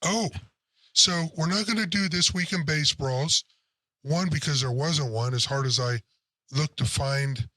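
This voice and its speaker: Male co-host, deep throaty voice with a forceful edge, blunt opinions, dry booth. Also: measured pace, no echo. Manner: blunt, opinionated, curses casually. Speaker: sports podcast co-host. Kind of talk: casual sports chat.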